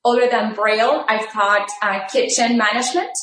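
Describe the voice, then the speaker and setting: A woman's voice: on the high side, moderate pace, a bit bright, echoey room, rough recording. A middle-aged woman whose first language is Spanish, a rehabilitation teacher giving a friendly, chatty cooking demonstration from her home kitchen, with many fillers and restarts. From Texas border region.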